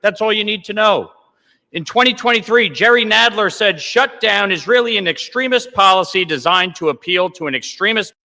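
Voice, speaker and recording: A man's voice: sits high, animated, normal speed, bright, forceful tone. A man, a congressman, giving a combative floor speech in a legislative chamber. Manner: combative, blaming. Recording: long reverb of a large chamber.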